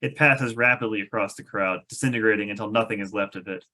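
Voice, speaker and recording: A man's voice: fairly low pitch, fast, pushed and bright. Wry man reading stage directions. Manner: wry, amused. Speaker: narrator of a live script table read. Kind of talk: reading screenplay stage directions aloud with amused asides.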